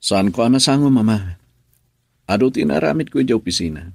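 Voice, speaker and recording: A man's voice: mid-range voice, animated, fairly quick, creaky, a little mellow, husky, no room sound, clean studio sound. A man acting a defensive, troubled husband in an emotive Ilocano radio drama. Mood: evasive.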